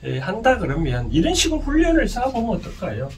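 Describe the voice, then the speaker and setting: Animated higher-pitched man with Gyeongsang Korean accent. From Gyeongsang region, South Korea. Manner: halting, often asks listeners rhetorical questions. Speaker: lecturer and counsellor. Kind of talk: informal explanatory lecture. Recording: distant mic with faint background noise.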